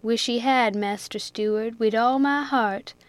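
A woman's voice: a little high, measured pace, no room sound, lightly acted. A woman voicing a sleek fat ship's cook. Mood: coaxing. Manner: heavy rustic dialect, coaxing.

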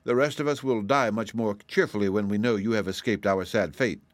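A man's voice: lowish voice, fairly quick, no room sound, close to an everyday voice. A man voicing a gloomy yet curious little humbug wizard, speaking in a wistful, thoughtful way for a storybook. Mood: resigned.

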